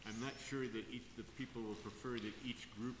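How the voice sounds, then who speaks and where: A man's voice: mid-range voice, fairly even intonation, a little fast. A man moderating a summit discussion in a large conference room, talking with frequent restarts and chuckles. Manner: hesitant, restarts often, chuckles. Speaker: panel moderator. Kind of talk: organizing a group discussion. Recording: roomy hall reverb, background hiss.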